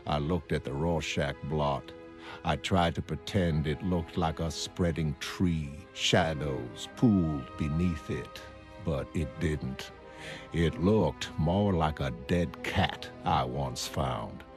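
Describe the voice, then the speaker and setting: A man playing a troubled, weary psychiatrist who narrates his private notes in a motion comic, measured and brooding. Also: very deep voice, heavily acted. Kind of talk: scripted diary narration in an animated motion comic.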